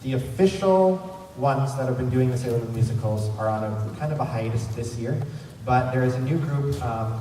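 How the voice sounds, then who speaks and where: A man hosting a convention panel, giving an informal, rambling and enthusiastic fan presentation. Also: mid-range voice. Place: large convention centre room. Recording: echoey hall from far off, noisy. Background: room noise.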